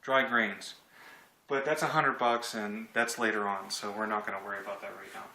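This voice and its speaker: A man's voice: on the low side, steady tone, moderate pace, pushed and bright. A man in his thirties giving a casual, rambling, joking commentary as he reviews a product. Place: home kitchen, indoors. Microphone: handheld camera at a short distance. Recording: echoey kitchen with clear noise and clicks.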